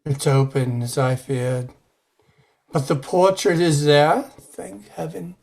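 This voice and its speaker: Slow, ominous, fearful clergyman played by a man. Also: a little high, steady tone, vocal fry, darkish tone. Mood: dread. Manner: solemn, ominous, melodramatic. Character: grave, fearful clergyman warning of evil. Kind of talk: old-time radio horror drama acting.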